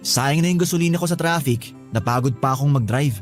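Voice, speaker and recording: An actor playing a tired young husband who pleads and gets flustered in a radio drama, with faint music behind. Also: male voice, mid-range voice, a little fast, natural voice. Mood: weary. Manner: weary, pleading, flustered when pressed. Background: background music.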